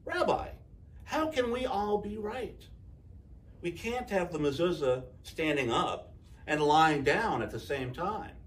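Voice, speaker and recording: A man's voice: on the high side, animated, slow pace, distant mic. Bewildered storybook townsfolk, acted by a man, pleading and questioning in a raised voice. It is recorded a little away from the microphone. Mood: puzzled. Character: puzzled townspeople pleading with their rabbi.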